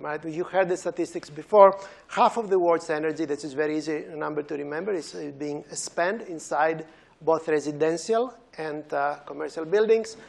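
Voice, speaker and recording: Greek-accented middle-aged male professor, gravelly high voice, easygoing lecture delivery in a big hall. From Greece. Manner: relaxed, pacing the stage and pointing at slides. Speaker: professor giving a seminar talk. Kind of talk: university lecture. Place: large lecture auditorium.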